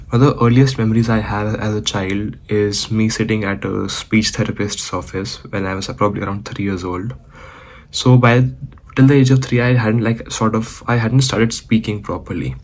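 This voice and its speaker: A man's voice: medium pitch, darkish tone, a little hiss or hum. A young man from India, talking casually to the camera in a vlog, with many fillers and restarts. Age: young adult. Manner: conversational, frequent restarts and fillers. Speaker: vlogger. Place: outdoors, walking.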